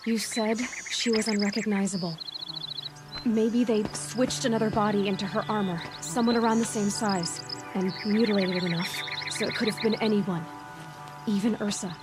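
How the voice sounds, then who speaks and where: A woman's voice: medium pitch, steady tone, normal speed, over music, natural voice. A woman acting as a determined, inquisitive young huntress in a video game, terse and analytical as if thinking aloud. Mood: analytical, urgent.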